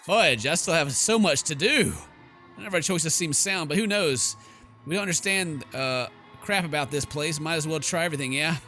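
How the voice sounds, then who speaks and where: A man with a high, bright voice comments casually on a game as he plays, joking and exclaiming. Faint music runs behind him. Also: normal speed. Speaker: gaming video host. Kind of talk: casual game playthrough commentary, reading game text aloud.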